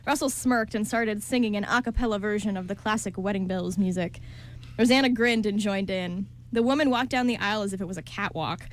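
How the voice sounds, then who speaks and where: A woman's voice: mid-range voice, fairly quick. A woman narrating a scripted story as part of a radio play.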